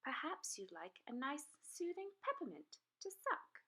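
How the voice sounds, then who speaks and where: Woman voicing a sweet, high little princess. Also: huge pitch swings, unhurried, full character voice. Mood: sympathetic. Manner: gentle, sweet, polite. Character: kind, helpful little princess girl. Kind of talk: children's storybook read-aloud.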